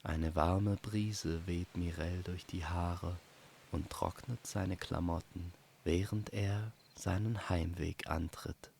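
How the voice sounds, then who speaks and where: A man narrating a fantasy audio story as its host, in a calm, poetic and unhurried way. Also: deep voice, vocal fry.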